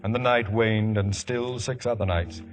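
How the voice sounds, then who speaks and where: Actor as solemn biblical narrator, 1950s radio drama, clear music bed. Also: male voice, on the low side, fairly even intonation, normal speed, lightly acted. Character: solemn biblical storyteller narrating an old tale. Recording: vintage radio broadcast with music underneath.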